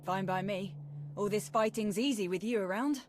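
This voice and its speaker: An actress playing a loyal, plain-spoken army major in a video game. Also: female voice, mid-range voice, moderate pace, darkish tone, a little hiss or hum, lightly acted. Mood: dutiful, friendly. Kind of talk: dramatic game voice acting.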